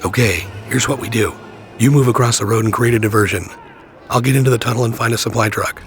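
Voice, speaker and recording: A man's voice: medium pitch, fast talker, fairly bright tone, faint music behind, close to an everyday voice. A man playing a cool, resolute action hero in a video game, terse and decisive. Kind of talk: video game cutscene acting.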